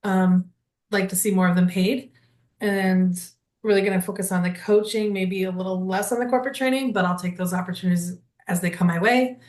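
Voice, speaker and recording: A woman from Wisconsin introduces her coaching business on a video call, upbeat and direct. Her voice has an ordinary middle pitch. The room adds a clear echo around her. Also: steady tone, brisk, darkish tone. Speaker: leadership coach.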